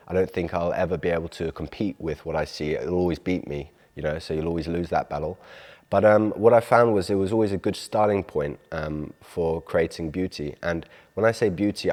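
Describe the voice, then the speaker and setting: A deep-voiced man with an English accent speaks thoughtfully and earnestly about his art. His voice rings with long reverb, as in a large hall. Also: darkish tone. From London, England. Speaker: artist. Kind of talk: reflective talk about own art.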